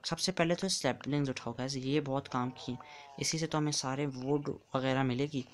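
A man's voice: medium pitch, steady tone, normal speed, a little hiss or hum. A man giving casual commentary while playing a block-building game, chatty and counting blocks aloud as he builds. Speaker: gamer.

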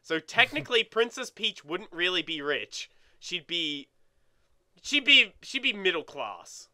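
A man's voice: sits high, very animated, measured pace, bright, forceful tone. A young man in casual gaming banter as a let's play co-host, rambling with lots of "like" and swearing. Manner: rambling, filler-heavy with frequent "like".

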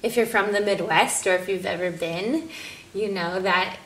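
A woman from Minnesota speaks reflectively in a documentary interview. She pauses and hesitates, and her mid-pitched voice has a lot of vocal fry. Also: fairly bright tone, rough recording.

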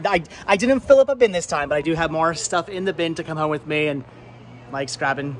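A man in his thirties hosting a casual vlog, chatting enthusiastically and rambling with halting, repeated words. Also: on the high side, soft background music. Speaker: vlog host. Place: large indoor flea market and warehouse loading area. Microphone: handheld camera at arm's length.

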